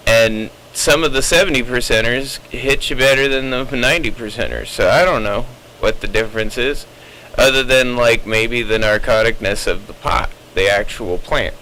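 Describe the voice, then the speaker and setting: A man with a bright, forward voice chats casually over CB radio. He explains things in a rambling, opinionated way. Faint noise sits behind him. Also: medium pitch, normal speed, low-quality recording.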